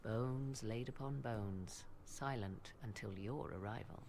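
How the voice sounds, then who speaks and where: Casting: proud sorceress, gravelly edge, archaic formal diction, fantasy game drama, faint noise behind. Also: female voice, a bass voice, darkish tone, full character voice. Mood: solemn. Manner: formal, archaic, measured, haughty.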